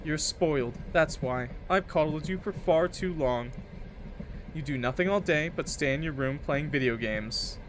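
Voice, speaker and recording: A man's voice: on the high side, unhurried, a bit bright, slight background noise. Man voicing a stern, scolding mother. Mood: scolding, brisk. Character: brisk, scolding, dismissive mother on a call.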